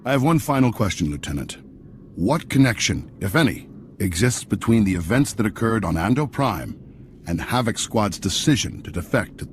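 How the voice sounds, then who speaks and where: Probing senator, lowish voice, measured and insinuating, faint hum. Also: male voice, big pitch swings.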